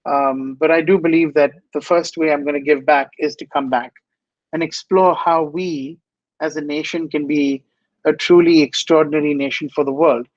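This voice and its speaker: Earnest man, high voice, dull dry sound. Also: steady tone, moderate pace, no room sound. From India. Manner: earnest, passionate, repeats phrases. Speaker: guest speaker. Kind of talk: inspirational interview answers.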